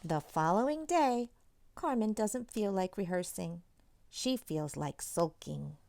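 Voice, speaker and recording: A woman's voice: mid-range voice, slow, creaky, dry recording. A woman, a children's author, reading a picture book aloud expressively, with chatty asides straight to young listeners. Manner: animated, addresses young listeners directly.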